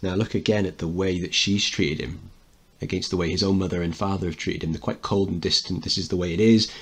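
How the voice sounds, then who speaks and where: Male teacher reading thru a play and explaining it, lowish raspy voice, informal. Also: fairly even intonation, brisk. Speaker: teacher and narrator.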